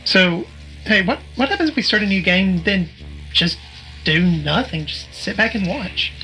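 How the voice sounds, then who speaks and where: Woman acting curious easygoing friend, medium pitch, casual, questioning, audio drama, light music behind. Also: lively intonation, a little slow, sharp tone, poor audio. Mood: curious, concerned. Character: curious, casual female friend watching a game.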